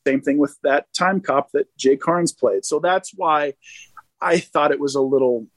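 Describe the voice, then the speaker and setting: Halting man with a dry, higher-pitched voice. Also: dry recording. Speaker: caller to a fan talk show. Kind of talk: casual fan discussion.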